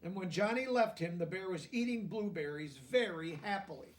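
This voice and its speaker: A man reading a children's picture book aloud, deliberately, with simple explanatory asides in plain words. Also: high-pitched, expressive, far from the mic. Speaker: storybook reader.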